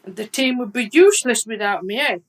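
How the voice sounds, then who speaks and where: A woman's voice: on the high side, animated, brisk, natural voice. A woman playing a brash, dim-witted female footballer in a radio comedy, with a blunt, defiant, colloquial way of talking. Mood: dim, unbothered. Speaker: actor in a radio play. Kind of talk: radio comedy drama acting.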